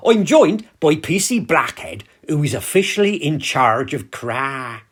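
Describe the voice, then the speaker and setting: A man playing a fussy, flustered village councillor in an amateur audio play, husky and officious. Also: on the high side, sing-song, unhurried, fairly bright tone, full character voice. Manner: officious, exasperated, declamatory.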